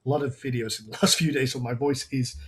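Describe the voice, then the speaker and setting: A man hosting a live software webinar, talking casually through on-screen steps with frequent restarts while his voice goes hoarse. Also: medium pitch, steady tone, fast. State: voice going hoarse. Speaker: webinar host.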